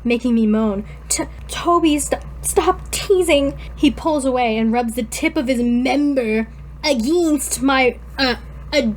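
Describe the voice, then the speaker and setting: A giggly, informal woman co-hosting a podcast, reading fan fiction aloud haltingly and breaking off to comment. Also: sits high, a little hiss or hum, poor audio. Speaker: podcast co-host.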